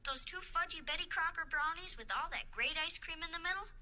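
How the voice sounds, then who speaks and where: A woman playing a dejected, grounded teenager confiding on the phone in a hushed, rueful way in a 1980s commercial. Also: extremely high pitch, a little fast, full character voice. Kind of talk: 1980s commercial dialogue acting.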